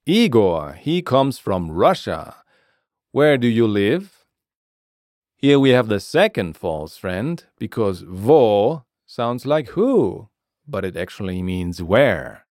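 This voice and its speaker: A man hosts a scripted language lesson in a fairly low voice. He takes his time, setting out each phrase deliberately for learners. His intonation is very lively, and the sound is close and dry. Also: dry recording. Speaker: language teacher and podcast host.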